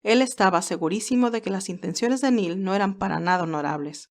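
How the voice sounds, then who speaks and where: A woman narrates a scripted romantic story. Her voice sits at a medium pitch, and she reads as the storyteller between the characters' dialogue. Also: fairly quick.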